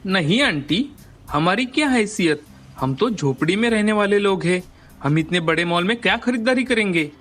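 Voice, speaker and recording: A man's voice: high voice, lively intonation, normal speed, a bit bright, faint music behind. An actor playing a humble but proud poor boy in a moral story, polite and plain-spoken. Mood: humble, dignified.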